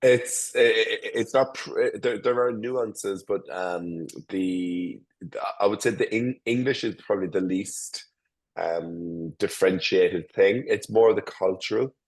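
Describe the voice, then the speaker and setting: A man's voice: on the low side, a little slow. A man in his forties with an Irish accent, a company founder giving an interview over a call, stumbling through restarts and fillers. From Ireland. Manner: hesitant, many restarts and fillers. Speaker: guest, tech company founder. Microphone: remote call.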